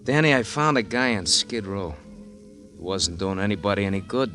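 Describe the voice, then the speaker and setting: An actor playing a sly, cocky American murderer in a 1950s radio crime drama, with slangy, needling delivery. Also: male voice, fairly low pitch, expressive, moderate pace, bright, forceful tone, soft background music. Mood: smug, sly. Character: cocky, sly male murderer and crook, bribing a cop.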